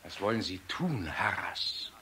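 Solemn, low-voiced engineer. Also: male voice, animated, measured pace, sharp tone, a little hiss or hum, exaggerated voice. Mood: anxious, urgent. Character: earnest, idealistic, solemn engineer and secret resistance man. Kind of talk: German radio play acting.

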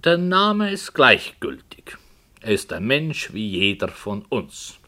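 A man playing a brooding writer in a radio play, solemnly and hesitantly telling a dark tale with earnest, dramatic emphasis. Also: on the low side, sing-song, full character voice. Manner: slow, hesitant, earnest, dramatic swells and hushes. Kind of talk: 1960 German radio play, literary storytelling.

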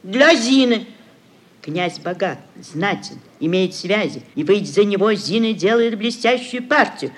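A woman's voice: mid-range voice, expressive, measured pace. An actress playing a scheming, sugary middle-aged society mother in a classic radio play, cajoling and declaiming theatrically. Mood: persuasive. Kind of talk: classic Russian literary radio play acting.

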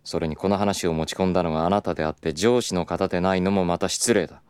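An actor playing a smooth, persistent, self-assured club executive in a Japanese audio drama, speaking politely and with unhurried insinuation. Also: male voice, deep voice, steady tone, darkish tone, no room sound, natural voice. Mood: coolly stern. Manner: polite, unhurried, insinuating formal business speech.

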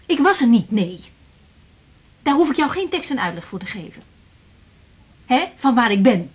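A woman's voice: fairly high pitch, big pitch swings, husky. An actress playing a guarded, defiant young woman doctor in a radio drama. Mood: defensive. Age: young adult. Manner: evasive, curt, quick to retort. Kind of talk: 1980s Dutch radio drama acting.

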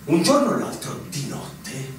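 An actor playing an officious veteran fortress officer in a radio drama, emphatic and declamatory. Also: male voice, fairly high pitch, very animated, measured pace. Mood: agitated, insistent. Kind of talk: theatrical radio drama acting in Italian. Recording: very echoey, distant, faint noise.

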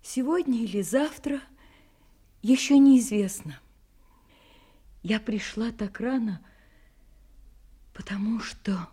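Печальную молодую женщину, медленная речь. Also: female voice, fairly high pitch, dark tone, breathy edge. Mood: reserved, hesitant. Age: young adult. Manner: halting, earnest, drops to near-whisper. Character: serious young woman in love, sad, frank, parting. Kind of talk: audio drama acting.

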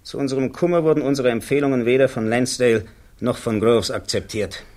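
An actor playing a blunt, confident army security officer who testifies in clipped, matter-of-fact answers in a radio play. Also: male voice, mid-range voice, fairly quick, a little mellow, lightly acted. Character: blunt, self-assured male army colonel, security officer.

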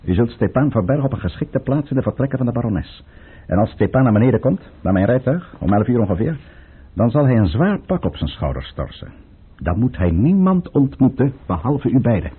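An actor playing a cold, scheming Russian count in a Dutch radio play, theatrical and insinuating. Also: male voice, medium pitch, very animated, fairly quick, slightly rough. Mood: commanding, sly. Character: scheming Russian count, commanding, cold, silky courtly conspirator. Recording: muffled, band-limited old radio recording.

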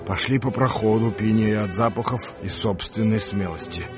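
A man's voice: lowish voice, fairly even intonation, moderate pace. A man reading dramatised literary narration for a radio play, with music behind him.

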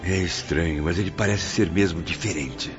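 A man's voice: low voice, normal speed, lightly acted. An actor with a Brazilian accent playing a curious, reflective spirit who narrates his afterlife journey in a formal radio novel. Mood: quietly curious. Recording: dull lo-fi audio over music.

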